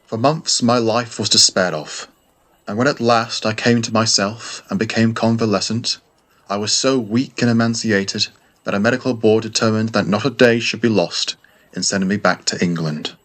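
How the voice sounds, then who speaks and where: A man reading a classic first-person story as scripted narration, in a measured, formal way. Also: on the low side, fairly even intonation, a bit bright. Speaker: audiobook narrator.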